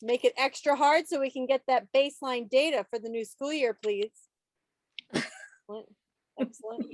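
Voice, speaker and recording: Playful, higher-voiced woman quizmaster. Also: moderate pace, no room sound. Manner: playful, teasing, mock-dramatic asides. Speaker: quiz host at a club meeting. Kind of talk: lively trivia quiz hosting. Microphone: video call.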